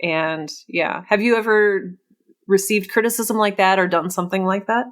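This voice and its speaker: A woman's voice: mid-range voice, normal speed. A woman hosting a casual podcast interview, effusive and reflective, often thinking aloud as she talks. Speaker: podcast host interviewing a writer.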